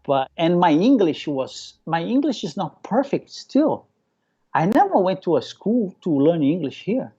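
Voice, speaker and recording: A man's voice: sits high, big pitch swings, a little slow, dark tone, husky, highs cut off. A man with a Brazilian accent touched by Hawaiian speech, a native Portuguese speaker, chatting enthusiastically as an interview guest and often repeating phrases as he tells stories. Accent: Brazilian with a local Hawaiian touch. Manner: enthusiastic, repeats phrases, acts out remembered remarks. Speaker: guest, hobbyist aquarium keeper. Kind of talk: casual live interview storytelling.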